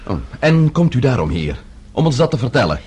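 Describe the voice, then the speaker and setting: Worried young husband, raspy, up and down pitch, short fuse, radio play. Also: male voice, sing-song, brisk, a little mellow. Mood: agitated, impatient. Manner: impatient, challenging. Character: anxious, impatient young husband, hot-tempered.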